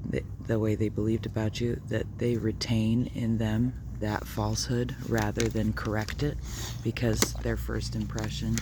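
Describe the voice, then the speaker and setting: A man with a low, gravelly voice muses aloud as he walks his dogs around the block. He talks in a soft, rambling way and now and then turns to speak to the dogs. The phone recording carries a lot of outdoor noise. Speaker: video blogger. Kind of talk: rambling walk-and-talk vlog. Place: outdoors on a neighborhood walk.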